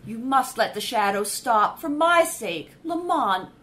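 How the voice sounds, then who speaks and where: A woman's voice: on the high side, expressive, a little slow, a bit bright. An actress playing a devoted young woman in a 1930s-style radio drama, earnest and pleading.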